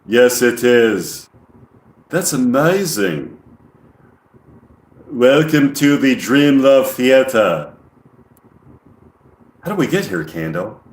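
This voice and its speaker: An alien played by a man speaks in a put-on English accent, middling in pitch. The delivery is very slow, deliberate and monotone, like lines read from a script, in an echoey room. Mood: flat, solemn. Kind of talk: reading a script in character.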